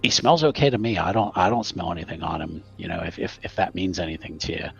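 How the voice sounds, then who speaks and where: A man's voice: low-pitched, quick pace, vocal fry, fairly bright tone. A man voicing a nervous young man who stammers and hedges through every sentence. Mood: flustered. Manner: stammering, hesitant, filler-heavy. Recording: slightly muffled, faint music.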